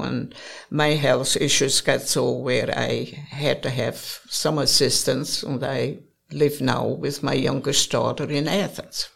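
An elderly German-accented woman from Bavaria, in her eighties, reminisces about her life in an easy, chatty way. She speaks slowly and leaves long pauses. Her voice has a gravelly, creaky texture. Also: rumbling low. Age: 80s. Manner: slow, chatty reminiscing with occasional laughs. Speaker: interviewee. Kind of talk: personal storytelling.

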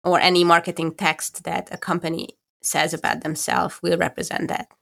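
A woman with a Hungarian accent speaks in a low, gravelly register. She talks slowly and reflectively, often stopping to search for the right word. The conversation has a relaxed, at-home podcast feel. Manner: thoughtful, searching for words with restarts. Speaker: podcast co-host. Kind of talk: casual conversation.

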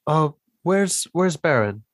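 A man playing a tabletop roleplaying game, talking casually and haltingly in short improvised phrases. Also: fairly high pitch, very animated, no echo, clean studio sound.

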